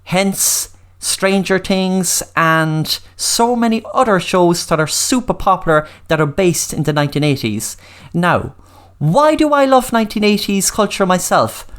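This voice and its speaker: A woman's voice: on the low side, lively intonation, unhurried. A woman with an Irish accent hosting a solo podcast, speaking emphatically and repeating words for stress. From Ireland. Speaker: podcast host.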